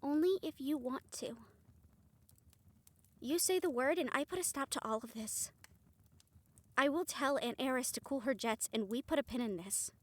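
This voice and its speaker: A woman playing a devoted, excitable young vampire bride-to-be in a fantasy romance audio roleplay, expressive and affectionate. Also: high-pitched, moderate pace, long pauses, no echo. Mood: hesitant, resolute. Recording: faint music behind the voice.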